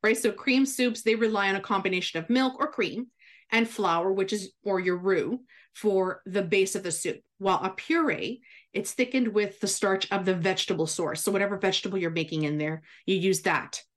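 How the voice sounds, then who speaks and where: A woman's voice: mid-range voice, normal speed. A woman guest giving cooking advice in a chatty, instructive radio style, often checking in with "right?". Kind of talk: explanatory radio chat.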